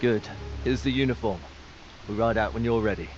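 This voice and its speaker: An actor playing a pragmatic fantasy war commander in a video game, grave and decisive. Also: male voice, medium pitch, a little fast, a little hiss or hum, close to an everyday voice. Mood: persuasive. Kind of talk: video game character acting. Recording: dull audio under music.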